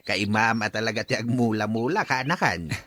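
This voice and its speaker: An actor playing an older uncle who praises his nephew's farm, hearty and quick to laugh. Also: fairly low pitch, fairly bright tone, dry recording, clean studio sound. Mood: approving. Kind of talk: audio drama acting.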